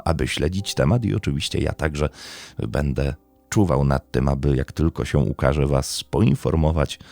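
A man's voice: very deep voice, vocal fry, a little mellow. A man hosting a true-crime podcast as a scripted narrator.

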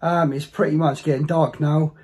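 A middle-aged man chatting casually as a family vlogger at home, his voice going hoarse. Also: on the high side, flat delivery, moderate pace, darkish tone. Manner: chatty, coaxing with his child. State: losing his voice. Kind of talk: casual family vlog chat. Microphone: camera at arm's length.

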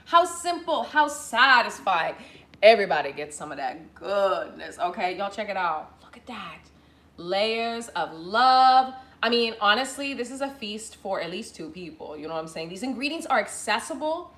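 A woman's voice: medium pitch, expressive, normal speed, fairly bright tone. Bubbly woman cooking in an echoey kitchen. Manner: bubbly, chatty, calls viewers y'all. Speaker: cooking show host. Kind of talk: live cooking demo, casual chat. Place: home kitchen, indoors. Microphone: fixed camera at counter distance.

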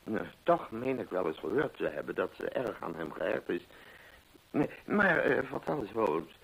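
Genial, chatty male companion for a vintage radio play: husky, lively intonation. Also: on the low side, moderate pace, heavily acted, low-quality recording. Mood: chatty, informative. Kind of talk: Dutch radio play acting. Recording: old radio play recording.

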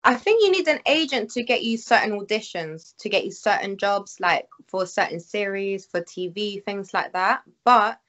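A woman's voice: mid-range voice, lively intonation, moderate pace, few pauses, a bit bright. Chatty Venezuelan-born woman. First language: Spanish. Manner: chatty, lots of "like". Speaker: guest, actress and model. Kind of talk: casual interview chat. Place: small room at home. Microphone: video call. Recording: muffled video call audio.

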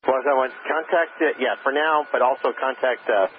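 A man's voice: mid-range voice, quick pace. A man working as an air traffic controller, giving clipped procedural instructions over aviation radio. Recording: noisy narrow-band aviation radio.

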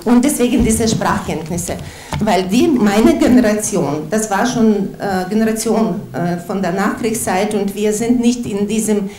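Woman, Ukrainian accent, soft dark tone, audience comment, echoing room, faint noise. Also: mid-range voice, measured pace. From Lviv, Ukraine. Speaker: audience member. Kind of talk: personal comment at a public lecture. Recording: roomy, distant room sound with noise.

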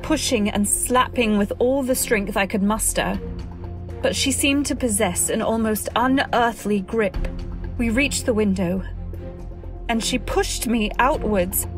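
A woman playing an anxious, earnest wife, narrating a radio drama in a literary, deliberate way. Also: on the high side, over music, close to an everyday voice. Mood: tense, reflective. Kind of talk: dramatic scripted narration, old-time radio.

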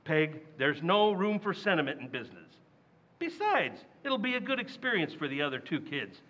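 A man acts out a scheming middle-aged husband from an old-time radio comedy. His high voice is forceful and blustery, tripping over itself when flustered, on a slightly dull recording. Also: big pitch swings, moderate pace. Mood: smug.

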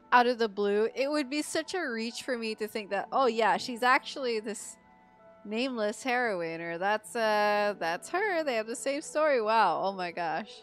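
A woman from the Philippines giving chatty, expressive reactions as she livestreams a game. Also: fairly high pitch, moderate pace. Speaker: game streamer. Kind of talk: casual livestream reaction commentary. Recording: game music behind the voice.

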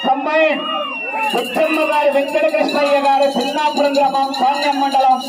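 A man giving excitable live bull race commentary, shouting out announcements and hype cries. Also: very high voice, normal speed, over loud music. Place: outdoors at a race ground, crowd around. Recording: distant echoey outdoor PA, loud crowd noise.

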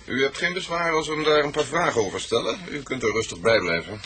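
An actor playing a polite but firm police detective in a radio drama. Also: male voice, medium pitch, fast talker, lightly acted.

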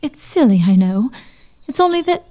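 Woman acting high-voiced anxious Victorian sister. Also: very animated, normal speed, warm tone. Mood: haunted, fearful. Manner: earnest, foreboding. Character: anxious young Victorian sister, gentle and fretful. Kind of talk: audio drama acting.

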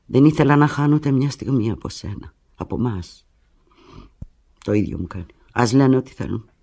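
Husky, desperate abandoned woman. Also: expressive, exaggerated voice. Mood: wounded, resigned. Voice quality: low, husky. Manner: intense, fragmented, swinging from hushed to anguished. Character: desperate, pleading abandoned woman clinging to her lover. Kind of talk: radio drama monologue, one side of a phone call.

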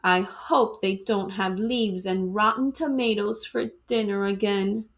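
A woman reading a children's storybook aloud in an expressive, dramatic way, like a teacher to students. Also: medium pitch, a little slow.

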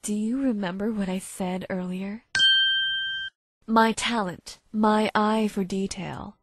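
A woman playing a cold, calculating female unicorn blackmailer in a visual novel fan dub, speaking in a smug, measured, threatening way. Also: mid-range voice, steady tone, very noisy, lightly acted. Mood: smug, explaining. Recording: faint music behind.

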